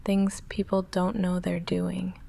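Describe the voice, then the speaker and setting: A woman playing a goth vampire roommate in an intimate ASMR roleplay, soft-spoken and halting, dry and guarded but quietly affectionate, often slipping into near-whisper. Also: fairly low pitch, monotone, creaky, full character voice. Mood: confiding.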